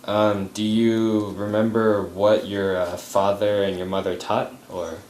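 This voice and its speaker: A man working as an interviewer, asking simple questions in a halting, hesitant way full of ums and uhs. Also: lowish voice, level tone, darkish tone. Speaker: oral history interviewer. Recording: echoey room with faint noise.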